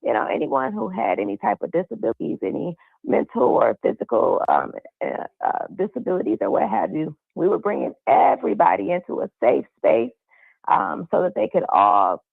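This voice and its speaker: A hoarse woman, a police community liaison, speaking earnestly and upbeat with many fillers over the phone. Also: lowish voice, wildly swooping pitch, normal speed, no room sound. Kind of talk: phone-in interview. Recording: tinny phone line.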